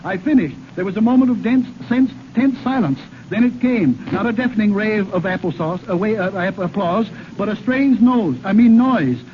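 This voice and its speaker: A man's voice: high-pitched, moderate pace, hardly any pauses, natural voice. A man playing a flustered, bumbling professor in 1930s radio comedy, stammering and correcting his garbled words. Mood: flustered, proud. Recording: muffled, very noisy 1930s radio transcription.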